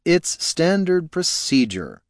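Bored professional warrior, dry and unhurried, a touch above the narrator's pitch, read by a man. Close, dry sound. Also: no room sound, full character voice. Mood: deadpan. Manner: deadpan, slow and matter-of-fact. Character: pot-bellied mercenary warrior, dry and unbothered. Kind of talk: audiobook character voice.

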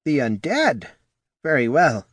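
A sour old sorceress of high rank , as a male narrator acts her out, pitched up and animated. She speaks with stern, sardonic hauteur in a fantasy audiobook, recorded dry. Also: slow, breathy edge, no echo, exaggerated voice. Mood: grudging. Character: haughty, sour old female mage counselor.

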